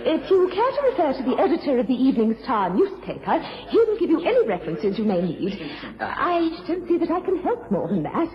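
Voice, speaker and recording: A woman's voice: sits high, very animated, brisk. A woman playing a polite, composed lady journalist in a period radio drama, speaking formally and earnestly. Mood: composed, defensive. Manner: well-mannered, formal, earnest. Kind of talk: British period radio drama acting and narration. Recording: muffled old radio recording.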